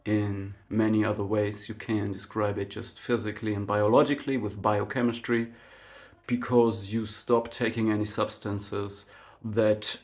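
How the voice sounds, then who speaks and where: A man whose first language is German, giving an unscripted spiritual monologue to his channel in a slow, reflective way, full of fillers and tag questions like "right?". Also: on the low side, a little mellow. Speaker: spiritual vlogger.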